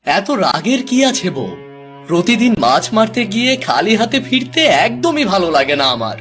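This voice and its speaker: A man's voice: sits high, big pitch swings, moderate pace, a bit bright, soft background music. A man playing a poor, kind-hearted fisherman and father in a cartoon, with a plaintive, exaggerated delivery. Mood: plaintive, flustered. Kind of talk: Bengali cartoon fairy-tale acting.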